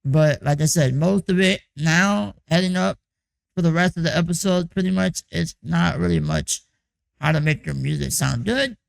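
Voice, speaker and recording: A young man giving a casual vlog check-in on his music production boot camp, with a hoarse, raspy, croaky voice and a loose, rambling way of talking full of "like" and "you know.". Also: a little high, moderate pace, rough recording.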